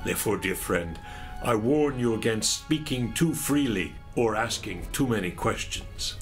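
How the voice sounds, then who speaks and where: Man acting a kindly knight mentor, animated pitch. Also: faint music behind. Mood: gently admonishing. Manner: measured, instructive, courtly. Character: kindly, courteous male knight mentor, older. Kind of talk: audio drama acting of a medieval legend.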